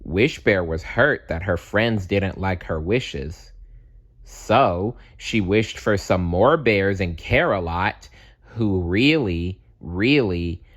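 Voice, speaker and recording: A man narrating a children's story aloud with expressive, deliberate phrasing. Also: deep voice. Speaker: storybook reader.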